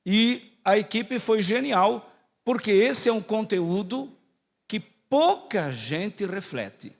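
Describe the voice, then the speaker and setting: A man's voice: high voice, lively intonation, takes it slowly, gravelly. A man from southern Brazil with a Santa Catarina accent close to Portuguese, a priest giving a conversational religious talk, teaching and often putting questions to his listeners. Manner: didactic, asks the audience questions and checks in often. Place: large echoing hall. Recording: echoey hall with long reverb.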